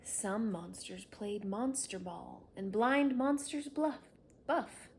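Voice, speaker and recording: A woman's voice: medium pitch, lively intonation, takes it slowly, slight background noise. A woman reading a children's story aloud, narrating expressively and without hurry. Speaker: storybook reader.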